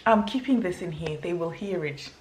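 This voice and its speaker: A woman's voice: lowish voice, animated, moderate pace. A woman in her thirties from Zimbabwe with a Zimbabwean accent, chatting casually to camera while she tidies at home. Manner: chatty, laughs easily, talks while tidying. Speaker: vlogger. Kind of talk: casual vlog chat to camera. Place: home kitchen, indoors. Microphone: camera on counter, medium distance. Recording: echoey kitchen with faint noise.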